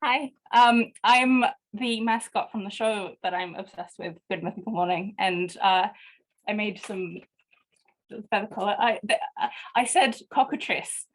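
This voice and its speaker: A woman's voice: mid-range voice, unhurried. A woman introducing herself in casual chat on a video call. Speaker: participant in an online costume party.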